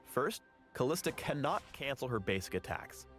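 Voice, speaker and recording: A male narrator reads a scripted game spotlight. His delivery moves up and down in a lively way over prominent music. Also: medium pitch, normal speed. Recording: loud music behind narration.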